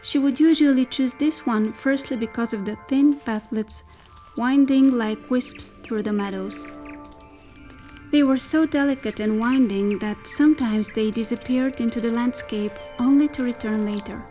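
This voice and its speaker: A woman performing as the storyteller of a dystopian folk tale, reading scripted literary narration in a measured, descriptive way. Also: fairly high pitch, mellow, faint music behind, natural voice.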